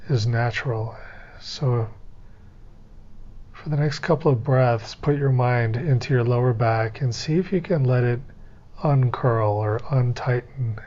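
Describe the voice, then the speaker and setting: Male guided-meditation instructor, mid-pitched voice, gentle and unhurried delivery, somewhat muffled lo-fi sound. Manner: gentle, unhurried step-by-step coaching with pauses.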